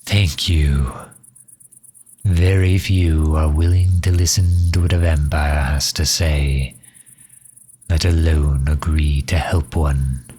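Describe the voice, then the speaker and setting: Man voicing a low, husky, courteous vampire leader. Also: fairly even intonation, slow pace, mellow, faint music behind, heavily acted. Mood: grateful. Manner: formal, polite, old-fashioned diplomatic phrasing. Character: courteous, earnest male vampire leader pleading for refuge. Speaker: voice actor in an audio roleplay. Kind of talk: scripted ASMR roleplay, addressing the listener.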